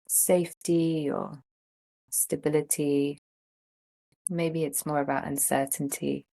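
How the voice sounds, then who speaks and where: Slow, low-voiced woman guiding meditation. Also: vocal fry. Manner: gentle, unhurried phrasing with long pauses. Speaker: meditation and yoga teacher. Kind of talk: guided meditation, slow instruction.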